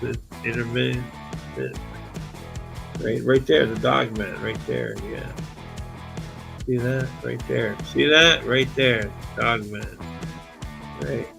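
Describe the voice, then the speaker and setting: A man with a Canadian accent hosting a rambling, excitable live stream chat, his voice going hoarse. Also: mid-range voice, takes it slowly, pauses often, over music. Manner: rambling, excitable, exclaims often.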